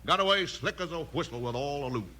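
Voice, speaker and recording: Folksy bumbling sheriff: highish, animated Western drawl, halting delivery, noisy 1950s radio western. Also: male voice. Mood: flustered, folksy. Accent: American Western drawl. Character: bumbling, slow-witted, folksy old-West sheriff.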